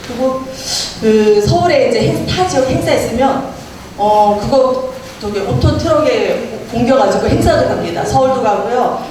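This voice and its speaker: A middle-aged woman with a Gangwon Korean accent, chatting as a guest speaker about a local club and telling her story with many fillers. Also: fairly high pitch. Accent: Gangwon Korean dialect. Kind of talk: casual talk to a gathering. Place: community meeting room. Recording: echoey, distant, noisy room.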